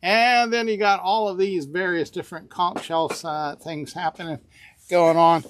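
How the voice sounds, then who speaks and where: A man's voice: high voice, steady tone, a little slow, fairly bright tone. A man in his sixties co-hosting a fabric shop video, describing each bolt in a rambling, casual way with many fillers and upbeat price calls, recorded inside the store. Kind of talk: casual product showcase. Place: indoor fabric store among shelves. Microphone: small camera on table nearby.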